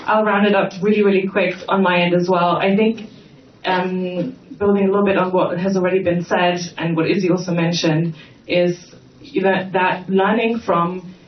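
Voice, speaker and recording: An adult panelist works through a point slowly, leaning on fillers and pauses. The sound is distant and dull, with a roomy echo and faint background hiss. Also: mid-range voice, steady tone. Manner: hesitant, many fillers. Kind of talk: conference panel remarks. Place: conference hall. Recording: echoey, muffled, distant mic with faint noise.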